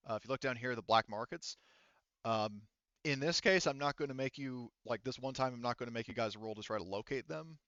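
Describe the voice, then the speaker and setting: A man speaking casually as game master of an online tabletop game, explaining things in a halting, rambling way. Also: fairly low pitch, fairly bright tone, clear, resonant tone, no room sound, muffled recording.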